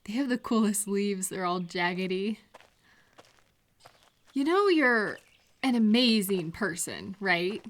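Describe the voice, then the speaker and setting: A woman playing a supportive, gentle friend in an intimate, casual audio roleplay, speaking in a reassuring, unhurried way to the listener. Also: medium pitch, lively intonation. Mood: bright, encouraging.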